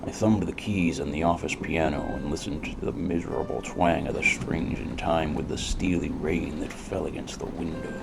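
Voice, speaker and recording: An American actor playing a world-weary, cynical, hard-drinking detective who narrates in a brooding, deadpan, gravelly noir style. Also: male voice, a bass voice, heavy background noise. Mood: brooding, bitter. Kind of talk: scripted first-person hardboiled noir narration.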